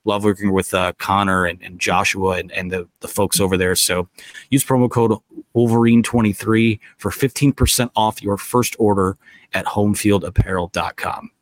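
A man with a low voice hosts a live sports talk show from a stadium press box. He is losing his voice to a cold and rambles casually, leaning on fillers. The sound is dry and close. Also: steady tone, normal speed, no echo, rough recording. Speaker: podcast host. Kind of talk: post-game sports talk.

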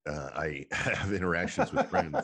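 A man's voice: low-pitched, wildly swooping pitch, moderate pace, gravelly, fairly bright tone, husky. A male podcast host asking long, loose questions full of "uh" fillers. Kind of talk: conversational interview.

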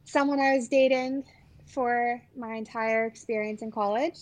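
A woman's voice: a little high, steady tone, moderate pace, darkish tone, low-quality recording. A woman in her twenties from the Los Angeles area, chatting casually with a friend, trailing off with fillers. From Los Angeles area, USA. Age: 20s. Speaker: podcast guest. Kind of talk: casual chat.

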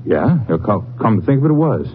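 Low, folksy, evasive rural dealer. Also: male voice, very animated. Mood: evasive, hesitant. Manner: drawn-out, hedging, folksy drawl. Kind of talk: 1950s radio western acting. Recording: tinny, noisy old radio recording, hum.

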